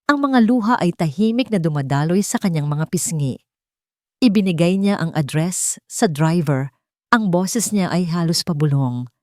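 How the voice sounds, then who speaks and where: A woman's voice: fairly low pitch, lively intonation, moderate pace, darkish tone, no echo, studio quality. A woman narrating a scripted romantic melodrama in a dramatic storytelling style.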